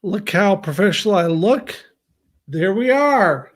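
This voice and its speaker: A man's voice: high voice, animated. A man hosting a podcast over a video call, chatty and affirming as he interviews a guest. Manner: chatty, quick to laugh, many affirmations. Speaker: podcast host. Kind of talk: casual interview chat.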